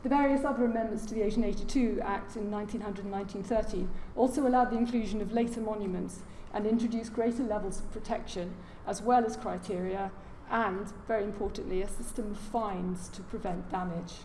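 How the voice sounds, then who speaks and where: A soft-spoken woman giving a formal lecture as a guest speaker, with frequent hesitations and fillers. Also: medium pitch, steady tone, fairly quick, few pauses. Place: large lecture room. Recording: echoey lecture hall, faint noise.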